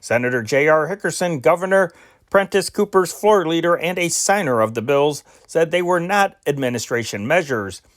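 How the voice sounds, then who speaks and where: Amateur male news reader, slightly higher voice, steady dispatch reading with the odd stumble. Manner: amateur, measured reading with occasional slips and self-corrections. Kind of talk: reading old newspaper dispatches aloud.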